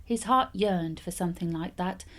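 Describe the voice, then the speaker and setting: A woman reading a fairytale aloud as an expressive storyteller. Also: lowish voice, moderate pace.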